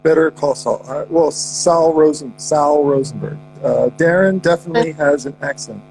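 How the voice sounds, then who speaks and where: A man's voice: a little high, normal speed, vocal fry, a little mellow, soft background music, low-quality recording. A man hosting a casual podcast chat, joking around with lots of restarts and goofy asides. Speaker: podcast host.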